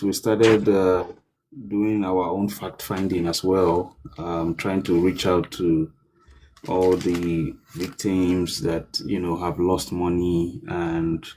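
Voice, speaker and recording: A man's voice: sits low, dark tone, slight background noise. A man hosting a livestream panel, talking casually and loosely with many fillers. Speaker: livestream host.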